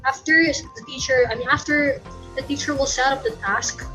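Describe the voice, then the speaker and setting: Young filipina student explaining a lesson, kinda high voice, faint music in the back, keeps rephrasing herself. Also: female voice, normal speed, sharp tone, low-quality recording. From Philippines. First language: Tagalog. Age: young adult. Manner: explanatory, often corrects herself mid-sentence. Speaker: student presenter. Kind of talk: recorded class lecture.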